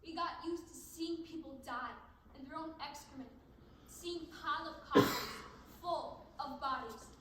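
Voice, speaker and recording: Woman playing young inmate, dramatic stage recitation, high pitch, very echoey, noisy. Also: measured pace, far from the mic. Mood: bleak.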